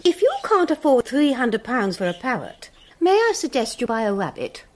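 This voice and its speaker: An actress playing a prim, haughty pet shop clerk who speaks curtly and condescendingly. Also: female voice, on the high side, big pitch swings, moderate pace, a little hiss or hum. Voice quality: curt, condescending. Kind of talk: sitcom acting.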